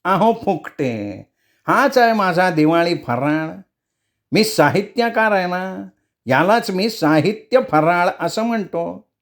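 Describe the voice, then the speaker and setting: A man's voice: sits high, lively intonation, slow pace, pitched above the performer's own voice, heavily acted. A man voicing a cranky, nasal, half-deaf old writer who is brusque and pompous in a comic story. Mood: self-satisfied. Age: older. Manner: brusque, demanding people speak up.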